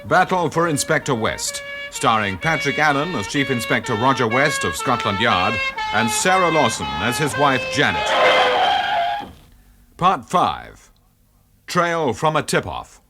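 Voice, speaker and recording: A man's voice: medium pitch, big pitch swings, unhurried. A man announcing the credits of a radio drama in a scripted style. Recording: loud theme music under voice. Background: loud music.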